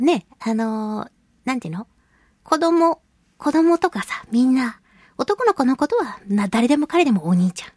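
A woman hosts a casual solo radio chat in a fairly high voice with a husky, breathy grain. She talks playfully to her listeners, stretching words and tossing in colloquial asides. The sound is dry, with no room echo. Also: very animated, moderate pace, a little mellow. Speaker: radio show host, voice actress.

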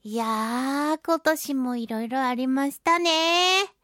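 A woman hosting a casual solo radio chat, talking in a playful, animated way with touches of mock-grand phrasing. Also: high voice, slow pace, no room sound, clean studio sound. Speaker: radio show host and voice actress.

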